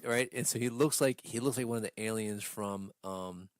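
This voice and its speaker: A man's voice: on the low side, normal speed, no echo. Exasperated, sweary man telling stories, close and dry. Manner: profane, exasperated, animated storytelling. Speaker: podcast host. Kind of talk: casual movie rant chat.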